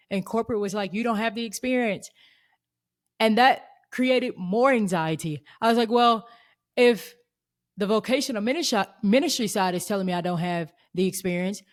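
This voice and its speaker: A woman in her thirties hosting a faith podcast, talking in an earnest, reflective way. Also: medium pitch. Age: 30s. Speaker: podcast host.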